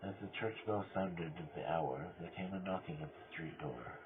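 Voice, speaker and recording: Tinny, deep-voiced mad murderer, acted by a man. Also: moderate pace, close to an everyday voice. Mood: smug, controlled. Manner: theatrical, swinging from hushed cunning to screaming. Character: mad male murderer confessing, cunning and unravelling into frenzy. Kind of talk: dramatic gothic horror story reading. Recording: tinny band-limited audio with clear noise.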